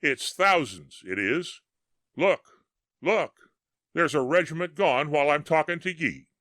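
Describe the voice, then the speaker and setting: A man voicing an excited young British soldier of the First World War, shouting in rough plain speech. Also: on the high side, a little slow, no room sound, clean studio sound, pitched above the performer's own voice. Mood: amazed shouting.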